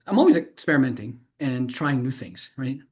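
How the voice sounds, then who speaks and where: An actor playing an enthusiastic, informal university instructor in a conversational monologue, animated and rambling, often interrupting themselves. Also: mid-range voice, normal speed, close to an everyday voice. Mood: reflective, eager.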